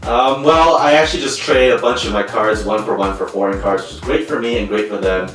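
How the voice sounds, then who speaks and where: A man's voice: on the low side, a little fast, a bit bright, soft background music. A Canadian man answering interview questions in a plain, earnest way with some fillers. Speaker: co-host and guest player. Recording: echoey room from far off.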